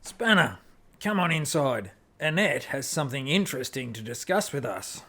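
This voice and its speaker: A man's voice: mid-range voice, big pitch swings, normal speed, sharp tone, exaggerated voice. A man playing an easygoing, hard-drinking spaceship rogue in an amateur audio drama, blunt and laid-back. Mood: friendly.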